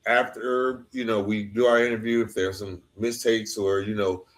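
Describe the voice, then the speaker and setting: A man's voice: on the low side, flat delivery, normal speed, fairly bright tone. Casual man hosting a podcast. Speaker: podcast host and interviewer.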